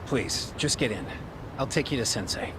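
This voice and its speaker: Male actor as young game go-between, mid-pitched, curt, deferential, noncommittal, clear vehicle noise. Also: animated, moderate pace, natural voice. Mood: guarded. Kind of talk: video game cutscene acting.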